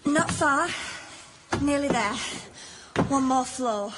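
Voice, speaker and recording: A woman playing a brisk, insistent, teasing friend in a naturalistic radio drama. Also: sits high, very noisy.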